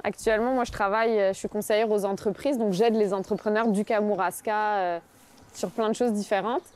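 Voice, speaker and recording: A woman in her thirties who grew up in France and is of background, interviewed in relaxed conversation, thinking aloud with frequent pauses. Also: medium pitch, a little hiss or hum. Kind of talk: casual conversation.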